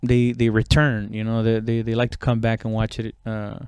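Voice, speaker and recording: Male comic podcaster. Smooth, clean voice, dry close sound, informal halting solo ramble with loose swearing. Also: fairly low pitch, completely flat delivery, a little mellow, no room sound. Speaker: stand-up comedian hosting own podcast. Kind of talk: casual rambling solo chat.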